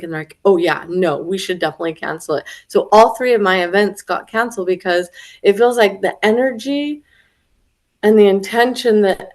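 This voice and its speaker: A young woman in casual conversation, animated and laughing as she acts out quoted voices, a little stuffy from a head cold. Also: medium pitch. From Florida. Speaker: retreat attendee.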